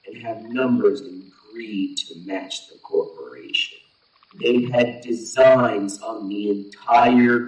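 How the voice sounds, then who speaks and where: Man playing a slow, echoing, grave alien warrior. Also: medium pitch, lightly acted. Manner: formal, measured, solemn. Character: grave, impatient alien warrior son urging war. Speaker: actor in an audio drama. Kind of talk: scripted audio drama dialogue. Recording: roomy echo, distant from mic.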